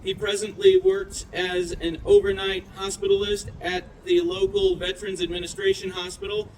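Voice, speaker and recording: A man's voice: sits high, lively intonation, normal speed, darkish tone, poor audio. A man acting as master of ceremonies, reading a prepared introduction aloud at an outdoor event. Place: outdoors at a downtown festival. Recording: outdoor PA with faint traffic noise.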